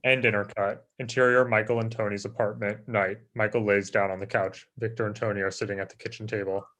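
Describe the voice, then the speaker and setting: A man's voice: lowish voice, little pitch movement, a little fast, a bit bright. A man narrating screenplay stage directions as a scripted reading.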